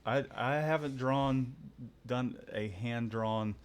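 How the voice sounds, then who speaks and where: A man's voice: mid-range voice, slow pace, clean tone. A man hosting a casual live stream about his print shop, rambling good-humoredly with many restarts. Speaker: host and screen printer.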